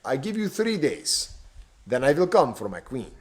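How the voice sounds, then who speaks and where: A man's voice: mid-range voice, big pitch swings, normal speed, natural voice. A man voicing a thin, pale, sinister stranger with a Romanian accent, speaking in a cold, hard, hissing way. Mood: menacing.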